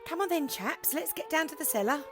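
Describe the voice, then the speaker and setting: An actress playing a homely, practical, fretful housekeeper in an audio drama, plain-spoken and colloquial. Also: female voice, high voice, lively intonation, brisk, music in the background. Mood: practical, curious.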